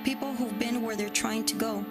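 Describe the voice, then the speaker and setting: A woman giving a slow, measured inspirational narration in a video played on screen. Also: fairly high pitch, fairly even intonation. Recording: played on screen over loud music.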